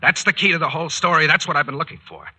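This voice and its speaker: An actor playing a brusque American police captain, speaking with clipped authority in old-time radio drama style. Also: male voice, a little high, rapid-fire, pushed and bright, slightly rough, full character voice. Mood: indignant. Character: brisk, commanding male police captain.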